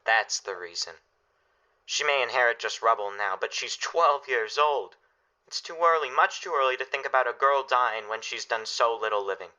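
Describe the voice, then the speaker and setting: Stern family protector played by a man, radio play: lower-pitched, intensely forceful, grave, emphatic speeches. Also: normal speed, rough recording. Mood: grave, pleading. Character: stern, anguished father guarding his family's shelter. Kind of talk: dramatic radio play acting.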